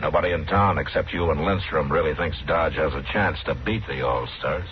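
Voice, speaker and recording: An actor playing a calm, authoritative Old West marshal in a radio western, speaking in a terse, firm, unhurried way. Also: male voice, extremely low pitch, noisy background. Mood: stern. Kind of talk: 1950s radio western acting. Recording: muffled old radio recording, loud saloon crowd.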